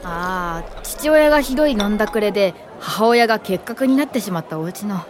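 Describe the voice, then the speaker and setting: An actress playing a polite, devoted young female assistant in a radio drama, speaking sincerely and with measured care. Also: mid-range voice, expressive, a little hiss or hum, close to an everyday voice. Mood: concerned. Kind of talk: radio drama acting with reflective narration. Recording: studio radio drama over clear music.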